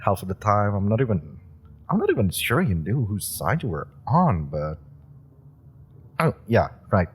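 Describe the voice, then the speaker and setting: A man's voice: on the low side, wildly swooping pitch, normal speed, pauses often, slightly rough, full character voice. A man playing a nervous, eager young superhero rookie who rambles, stammers and laughs nervously. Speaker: audio roleplay performer. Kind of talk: audio roleplay drama.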